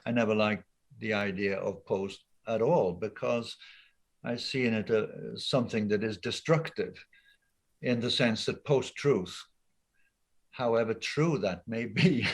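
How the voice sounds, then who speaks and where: An older man with a fairly low voice speaks over a laptop webcam on a video call. He talks in a thoughtful, hesitant way, filling gaps with ums as he explains his ideas. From Wales. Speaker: art professor guest. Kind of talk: casual interview talk.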